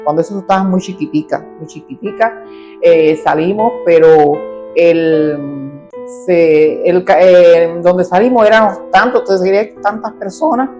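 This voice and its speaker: A middle-aged Cuban woman with a lower voice tells her life story as an interview guest. She is animated and acts out her family's voices as she goes. Faint guitar music plays behind her. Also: unhurried. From Cuba.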